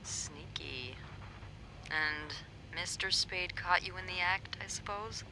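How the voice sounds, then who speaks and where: A woman's voice: lowish voice, slow pace, background noise. A woman playing a savvy hotel receptionist in a mystery game, a wry and protective confidante with a conspiratorial manner. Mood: teasing. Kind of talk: narrative mystery game acting, natural dialogue.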